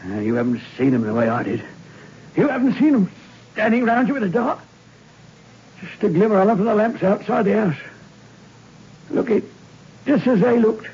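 An actor playing a drunk, terrified male hangman who raves about ghosts in a raspy, gibbering voice, in a 1960s radio drama. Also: big pitch swings, moderate pace, pauses often, dark tone, full character voice. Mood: hysterical. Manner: gibbering, shrill and breathless. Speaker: prison hangman. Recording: dull lo-fi old radio recording.

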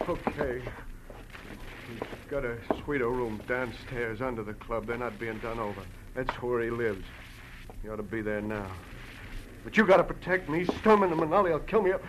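An American actor playing a frightened, cowardly small-time hoodlum in a 1940s radio drama, nervous and pleading. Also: male voice, mid-range voice, moderate pace, close to an everyday voice. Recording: noisy vintage radio recording.

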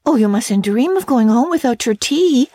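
A woman's voice: a little high, lively intonation, normal speed, warm tone, no room sound, voice pushed up. A woman voicing an imaginative, earnest girl who talks breathlessly and theatrically with stammering restarts. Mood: pleading.

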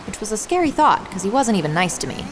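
A woman's voice: medium pitch, very animated, fast, noisy background, natural voice. An actress playing a young girl who narrates her survival journey in a reflective, dramatic storytelling style. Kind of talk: scripted first-person story narration. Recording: loud music under the voice.

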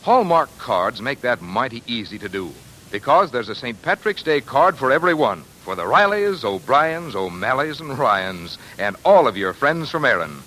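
A man's voice: medium pitch, sing-song, moderate pace, few pauses, pushed and bright, slight background noise, low-quality recording. An American man announcing and reading sponsor copy in 1940s radio style on a vintage broadcast.

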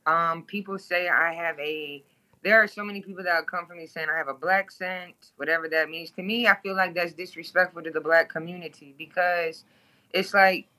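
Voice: mid-range voice, steady tone, moderate pace, pushed and bright, rough recording. A guest from Toledo, Ohio, speaking bluntly and candidly in an interview, swearing freely.

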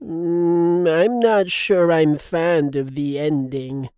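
An actor voicing a dull, pedantic male radio DJ in a children's comedy audio drama, deadpan and painstakingly literal. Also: fairly high pitch, slow pace, clean tone, no echo. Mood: flat, deadpan.

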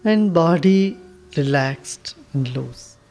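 Slow, low, mellow woman guiding meditation. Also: animated, soft background music. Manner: soothing, unhurried, with long pauses. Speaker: meditation guide. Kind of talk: guided meditation instruction.

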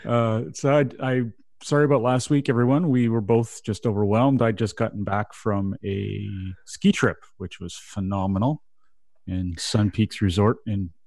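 A man's voice: lowish voice, steady tone, normal speed, gravelly, darkish tone, dry recording. A Canadian man hosting a radio show, chatting casually and laughing often. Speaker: podcast host.

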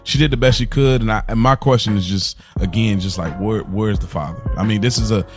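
An American man with a fairly low voice chats as a podcast co-host. He speaks earnestly and informally, full of slang, and repeats phrases to drive them home. Music plays under his voice. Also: fairly quick. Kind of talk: casual chat.

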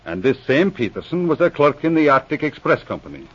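An actor playing a grumbling, sly old police veteran with an Irish accent and a wry, blarneying way of talking in a radio drama. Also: male voice, mid-range voice, sing-song, a little fast, a bit bright. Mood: calm, sly. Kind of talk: 1940s radio drama acting. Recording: dull vintage radio recording.

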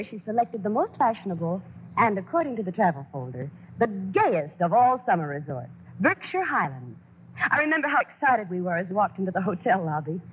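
An actress playing a fond daughter who narrates family memories in an affectionate 1940s radio drama style. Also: female voice, medium pitch, a little hiss or hum, close to an everyday voice. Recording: muffled old radio broadcast. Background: loud music.

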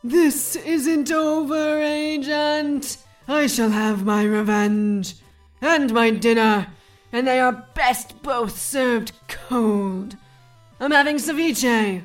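A woman voicing a pompous, quick-to-rage male supervillain who delivers grand, drawn-out threats. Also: fairly even intonation, soft background music. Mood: vengeful. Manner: booming, drawn-out theatrical threats.

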